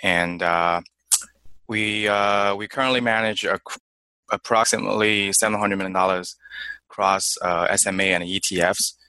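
A young man from China, guest in an interview, answering in a halting way with many fillers and restarts. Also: lowish voice, fairly even intonation, pushed and bright, clean tone, dry recording. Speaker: investment manager guest.

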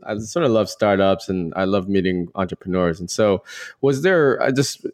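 Male podcast host for interview show, lower register. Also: normal speed, a little mellow. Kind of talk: scripted intro and casual interview.

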